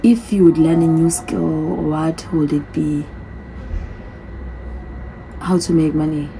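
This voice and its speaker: A woman's voice: deep voice, fairly even intonation, slow, creaky, mellow, slight background noise, low-quality recording. A woman in her thirties chatting casually through a get-to-know-me question list, often repeating her answers. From from a different continent. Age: 30s. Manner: chatty, repeats herself, reads questions aloud. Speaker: vlogger. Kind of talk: casual Q&A vlog. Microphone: phone.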